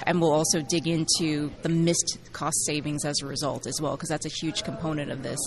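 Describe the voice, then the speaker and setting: A woman's voice: low-pitched, little pitch movement, brisk. A woman giving a spontaneous interview answer as an official. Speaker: government official giving comment. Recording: noisy interview clip.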